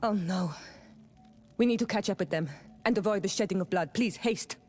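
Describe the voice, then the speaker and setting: A proud, solemn young warrior princess of a fantasy clan, acted by a woman. Her speech is deliberate and formal, at a middling pitch, with faint music underneath. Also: darkish tone, lightly acted. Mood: urgent. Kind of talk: video game cutscene dialogue.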